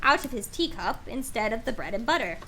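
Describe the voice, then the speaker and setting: A woman streamer reading a story aloud in an expressive, playful way with casual asides. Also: on the high side, a little fast, slight background noise.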